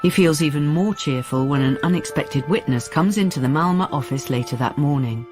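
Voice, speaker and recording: A man's voice: on the high side, a little fast, music in the background. A man narrating a radio drama in a scripted storytelling style.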